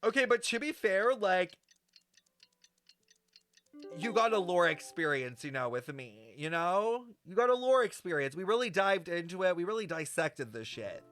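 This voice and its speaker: A man's voice: sits high, big pitch swings, moderate pace, fairly bright tone. A man chatting casually on a game stream in a slangy, self-deprecating, talkative way. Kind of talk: casual live stream chat reacting to a game.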